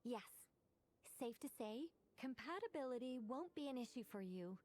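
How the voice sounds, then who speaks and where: A woman playing a composed, formal young researcher in an anime-style video game, precise, polite and gently wry. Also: high voice, expressive. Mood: impressed. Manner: precise, polite, measured wording.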